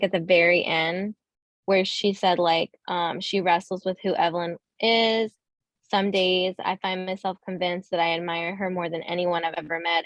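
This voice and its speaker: A woman's voice: lowish voice, fairly bright tone, no room sound. A woman in a book club, talking thoughtfully and using many fillers. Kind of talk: casual book discussion.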